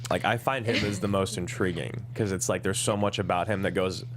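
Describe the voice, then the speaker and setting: A man with a deep voice asks questions in relaxed panel chat. His style is casual and conversational. The recording carries a clearly audible hum. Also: fairly quick. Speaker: table read panelist asking questions. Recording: noisy room with clear hum.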